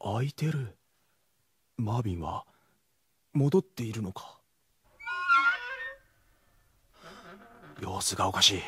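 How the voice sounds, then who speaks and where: An actor playing a tough, defiant teenage gang leader in a Japanese radio drama, with rough, slangy speech. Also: male voice, fairly low pitch, lively intonation, normal speed, very noisy, natural voice. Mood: tense, quiet.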